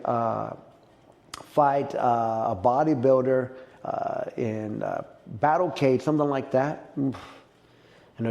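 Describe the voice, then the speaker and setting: A middle-aged man with a mellow, gravelly voice, talking jiu-jitsu in a relaxed interview. He tells his stories with plenty of feeling and jumps up in pitch and volume when something excites him. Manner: animated, emotional storyteller who gets loud and high when excited. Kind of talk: casual podcast interview.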